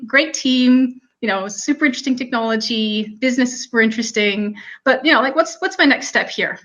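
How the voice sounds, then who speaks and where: A woman in her thirties with a Canadian accent, telling her career story in a relaxed talk full of ums and "you know". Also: fairly high pitch. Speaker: panel speaker. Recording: muffled lo-fi audio.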